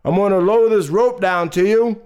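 A man's voice: high-pitched, moderate pace, a little mellow, smooth, dull audio, pitched above the performer's own voice, close to an everyday voice. Man acting a raised-voice, reassuring fire chief. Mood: reassuring, urgent. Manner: reassuring, instructing.

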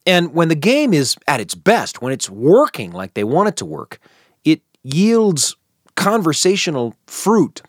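Male American radio host, scripted but heartfelt narration, lively melodic delivery with deliberate pauses. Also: fairly high pitch, no room sound, studio quality. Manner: earnest, dramatic pauses. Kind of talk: scripted narration for a storytelling show.

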